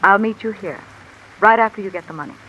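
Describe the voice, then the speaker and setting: A woman's voice: mid-range voice, quick pace, dull audio, natural voice. A woman playing a calculating, discontented wife with an insinuating, controlled manner in 1950s radio mystery style. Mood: commanding, threatening. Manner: insinuating, controlled, coolly commanding. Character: cool, calculating, discontented wife blackmailing a man. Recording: old radio recording with steady rain noise. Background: rain falling.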